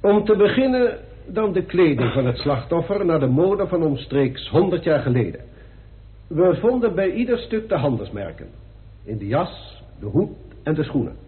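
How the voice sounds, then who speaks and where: A man's voice: on the high side, big pitch swings, moderate pace. A man playing a deferential, matter-of-fact police inspector reporting his findings in a radio drama. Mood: factual. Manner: respectful, factual, clipped reporting. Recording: tinny band-limited old radio recording.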